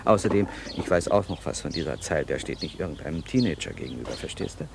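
An actor playing a bossy, enthusiastic, middle-aged TV director who explains and commands in a naturalistic radio play. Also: male voice, rumbling low, animated, quick pace, gravelly, noisy background, heavily acted. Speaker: TV film director character. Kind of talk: naturalistic radio drama acting.

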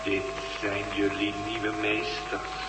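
Slow, echoing alien overlord. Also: male voice, fairly low pitch, completely flat delivery, over loud music. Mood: cold, commanding. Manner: slow, commanding, deliberate. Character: alien master commanding humanity from space, cold and imperious. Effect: heavy reverb on the voice. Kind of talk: radio drama acting. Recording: long reverb, clear hum and noise.

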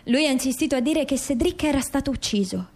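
A woman's voice: sits high, lively intonation, quick pace, a little mellow. An actress playing a grieving, insistent mother seeking the truth about her dead son in a radio drama. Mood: uneasy, suspicious.

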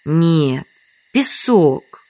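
An actress playing a meek, apologetic and quietly stubborn village hostess in an audio drama. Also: female voice, deep voice, sing-song, drawn-out words, no room sound, heavily acted. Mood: quietly insistent. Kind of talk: Russian audio drama dialogue.

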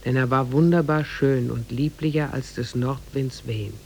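A man playing a measured storyteller, narrating an old oriental fairy tale in a 1950s radio drama. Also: medium pitch, fairly even intonation, darkish tone, close to an everyday voice. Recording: faintly noisy 1950s radio recording.

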